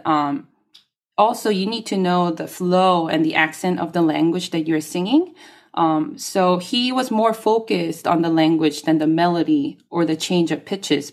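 A woman singer whose first language is Korean talks through her craft in an interview. Her voice sits a little low, and she pauses often with soft ums as she gathers her thoughts. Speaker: guest singer. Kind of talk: interview conversation.

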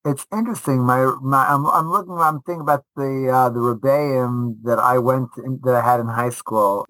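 Bright-voiced man, emphatic and conversational. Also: medium pitch, no room sound, low-quality recording. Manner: emphatic, repeats short phrases. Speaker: rabbi and high school teacher. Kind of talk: casual interview answers.

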